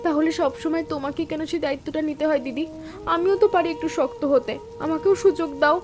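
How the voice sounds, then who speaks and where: A woman playing a timid, earnest young sister-in-law in an animated story, with an anxious, pleading and deferential manner. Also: high-pitched, quick pace, music in the background, lightly acted.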